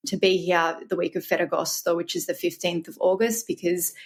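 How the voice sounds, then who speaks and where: A woman's voice: fairly low pitch, flat delivery, brisk. A woman from Australia with an Australian accent, a wine expert guest talking in a friendly, easy way. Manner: friendly, laughs easily. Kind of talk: casual interview chat.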